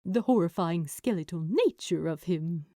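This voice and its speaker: A woman voicing a fussy, kindly, anxious gentleman angel in an audio story, speaking politely and hesitantly. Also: lowish voice, expressive, moderate pace, no room sound, clean studio sound. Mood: awkwardly reassuring. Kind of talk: audio fanfiction character acting.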